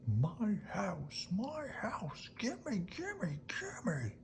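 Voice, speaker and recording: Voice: medium pitch, huge pitch swings, drawn-out words, gravelly, a little hiss or hum, pitched above the performer's own voice, exaggerated voice. A storyteller voicing an old male wolf from a picture book, homesick, whiny and prone to tantrums, often half-whispered. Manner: pleading and plaintive.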